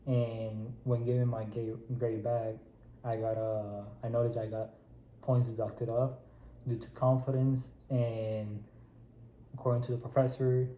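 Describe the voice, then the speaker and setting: A man's voice: on the low side, flat delivery, a little slow, warm tone, a little hiss or hum. A man in his twenties with a Spanish accent, a native Spanish speaker, answering politely and briefly as a client in a role-played counseling session. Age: 20s. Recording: roomy echo.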